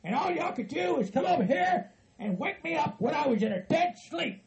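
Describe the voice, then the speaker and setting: A man voicing an angry, foul-mouthed Southern hillbilly who rants and shouts as if deeply wronged. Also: sing-song, moderate pace, a crowd in the background, full character voice, low-quality recording. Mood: irritated, aggrieved. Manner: hot-tempered, shouting, profane.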